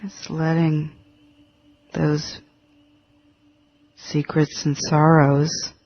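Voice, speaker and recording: A man leading a guided meditation in a gentle, halting manner, leaving long pauses between short phrases. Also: a little high, completely flat delivery. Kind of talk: guided meditation, spoken instructions. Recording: dull lo-fi audio with faint music.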